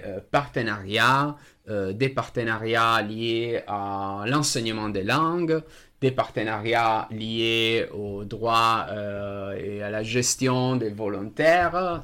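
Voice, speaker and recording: A man whose first language is Italian, talking in a radio discussion, slow and halting with many hesitations. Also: fairly low pitch, fairly bright tone. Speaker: association member.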